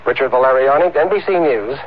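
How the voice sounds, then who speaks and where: Male news correspondent, American, rapid scripted report, thin phone-like sound. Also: mid-range voice, expressive. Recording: tinny phone-like radio line.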